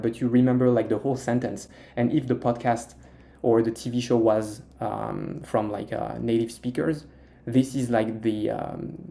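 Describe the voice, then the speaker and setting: A young Frenchman with a French accent answers interview questions in a hesitant, filler-heavy way. His voice is gravelly and mellow, sitting at a middle pitch for a man. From France. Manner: hesitant, many fillers and restarts. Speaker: interview guest, Mandarin learner. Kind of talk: casual interview chat.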